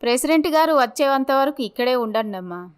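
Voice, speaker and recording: A kindly village leader's wife in a storybook drama , acted by a woman. She advises calmly and gently in a high-pitched, very clear voice. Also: a little fast. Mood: kind, advising.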